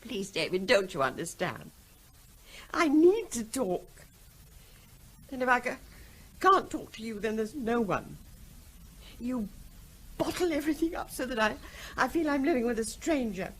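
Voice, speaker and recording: Radio drama wife: earnest, insistent, unhappy, higher register, lively emotional inflection. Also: female voice, measured pace, long pauses, breathy edge, heavily acted. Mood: hurt, pleading. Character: unhappy, frustrated wife pleading with her evasive husband.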